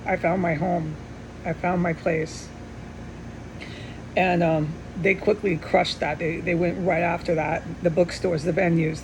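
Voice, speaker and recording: A middle-aged woman giving a rambling, earnest personal monologue with insistent, repeated emphasis and a gravelly voice. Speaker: vlogger. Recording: steady background hum and rumble.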